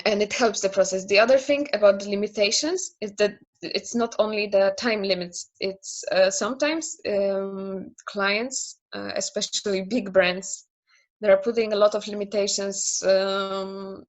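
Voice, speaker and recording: A woman's voice: mid-range voice, steady tone, measured pace. A woman in her thirties with a Polish accent, speaking English as a second language as an interview guest on a video call, hesitant with many fillers and restarts. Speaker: interview guest, naming specialist. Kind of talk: casual interview conversation.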